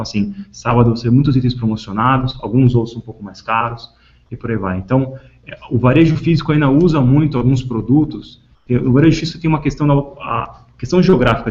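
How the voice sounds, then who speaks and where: A man's voice: medium pitch, fast talker, darkish tone. A man with a Brazilian accent explaining business matters as a panelist on a conference call, hesitating and repeating words. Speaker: panelist answering questions. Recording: dull lo-fi conference call audio.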